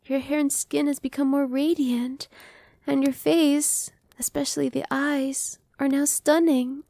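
A woman's voice: high voice, flat delivery, measured pace, gravelly, no echo. A woman playing a sweet newborn vampire girl. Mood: playful. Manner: soft, reassuring, playful, drops to whispers. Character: cheerful young female vampire, newly turned, friendly. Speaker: voice actress. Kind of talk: ASMR roleplay.

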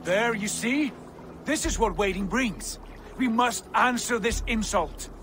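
A man's voice: high-pitched, measured pace, music in the background. A man playing a proud, ambitious Viking warrior prince in a video game, grand and commanding in delivery. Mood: urgent, angry. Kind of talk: dramatic video game acting.

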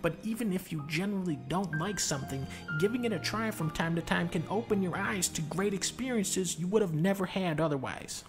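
A man's voice: high voice, fairly quick, few pauses, music in the background. A man playing God as a blunt, sweary figure lecturing someone about his choices.

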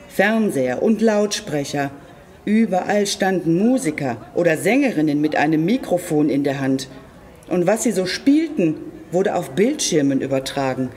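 A storyteller reading a children's tale aloud expressively and deliberately, addressing young listeners directly. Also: medium pitch, a little mellow.

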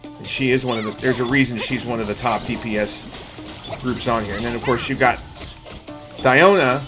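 A man's voice: mid-range voice, normal speed. Man commentating on gameplay, music behind. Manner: explanatory, addressing viewers. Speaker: game streamer and host. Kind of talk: casual live gameplay commentary.

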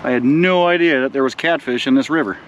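A man's voice: mid-range voice, fast talker, slight background noise. A man in his forties on a riverbank, chatting casually to viewers and eagerly urging a child to reel in. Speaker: fishing vlogger, father. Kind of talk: casual outdoor vlog chat. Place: outdoors on a riverbank. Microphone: camera on the bank, a few steps away.

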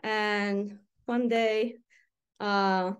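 A middle-aged woman with a Romanian accent reads her poems in an unhurried, deliberate way, with pauses between lines. She adds short asides between poems. It sounds like a video call from a room at home. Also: mid-range voice, fairly even intonation, clear, resonant tone. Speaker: poet. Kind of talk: poetry reading.

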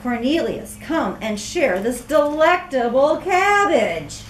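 An eager, plucky little caterpillar girl, voiced by a woman reading a storybook speaks high with big swoops of pitch. She is kind and exclaims a lot, in an echoey room. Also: big pitch swings, takes it slowly, far from the mic, a little hiss or hum, exaggerated voice. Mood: delighted. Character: young, friendly, plucky female caterpillar turned butterfly.

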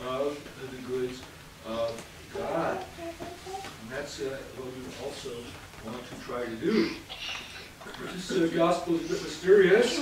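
A man in his fifties, a priest giving a sermon in a halting, hesitant manner with repeated phrases. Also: high-pitched. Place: indoors at a church pulpit or lectern, reverberant. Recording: distant mic in echoey room, clear background noise.

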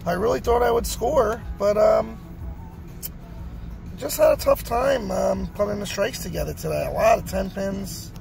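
A man's voice: sits high, a little slow, gravelly, a little mellow, faint music behind, rough recording. A middle-aged man giving a casual recap to camera, repeating his phrases for emphasis, recorded on a phone inside a car. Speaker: bowler and vlogger. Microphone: phone at arm's length.

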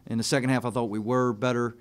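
A man in his thirties, a basketball coach answering questions after a game, speaking in a measured, plain way with frequent fillers into a desk mic in a press room. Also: mid-range voice, little pitch movement, clear, resonant tone. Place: press room in front of a team backdrop. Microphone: desk mic on a stand.

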